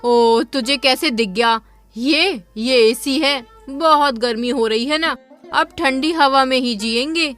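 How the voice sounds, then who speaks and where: A sharp-tongued, boastful village aunt in a cartoon storybook, as a man puts her on. Her voice sits high and bright with a tense, cutting edge, and she talks down to everyone with taunting, haughty scorn. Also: takes it slowly, soft background music, heavily acted. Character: boastful, spiteful village aunt, middle-aged woman.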